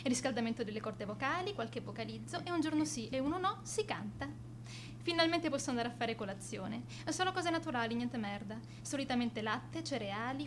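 An actress playing a vain, contemptuous high school girl bully in a radio drama monologue, haughty and self-absorbed. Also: background noise, lightly acted. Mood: smug, disdainful.

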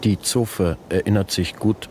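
An actor playing a sober chronicler of a disaster in a radio play, deliberate and matter-of-fact, in standard German. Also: male voice, low voice, steady tone, a little mellow, a little hiss or hum. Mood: sober, grave. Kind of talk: scripted radio play narration.

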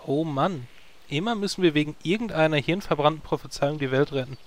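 A man's voice: a little high, brisk, natural voice. An actor playing a weary, sarcastic male guildmate. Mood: annoyed.

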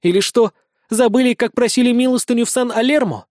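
A man's voice: very high-pitched, brisk, darkish tone, no echo, studio quality, voice pushed up. A man voicing a defiant young bandit girl who snaps and hisses her retorts in a fantasy audiobook. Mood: indignant. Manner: snapping, hissing retorts. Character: defiant young bandit girl, fiery, foul-mouthed heiress.

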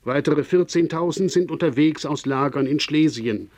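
A man reading a scripted radio documentary narration in a solemn, measured manner. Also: a little high, a little mellow.

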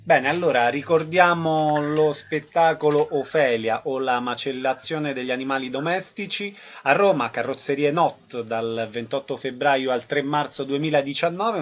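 A man presenting a radio show as its host. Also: mid-range voice. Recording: slightly dull radio sound.

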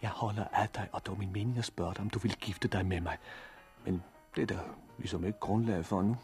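A man's voice: fairly low pitch, fairly even intonation, darkish tone, soft background music, close to an everyday voice. A man playing an earnest, easily flustered hero in a 1960s radio drama, hesitant and blunt. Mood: flustered, disbelieving. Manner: hesitant, blunt, mildly swearing.

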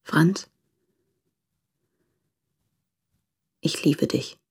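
A woman's voice: slow pace, a little mellow, lightly acted. An actress playing a woman in love, earnest and pleading, in an intimate radio drama. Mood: tender. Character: yearning, urgent woman in love, pleading by letter.

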